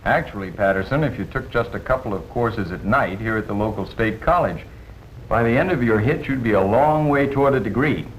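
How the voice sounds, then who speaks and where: Man acting helpful advisor, American, fairly low pitch, measured, encouraging, 1950s film dialogue, slight rumbling noise. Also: close to an everyday voice, poor audio. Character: helpful Air Force educational advisor counseling a young airman. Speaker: educational advisor. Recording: old film track with faint rumble.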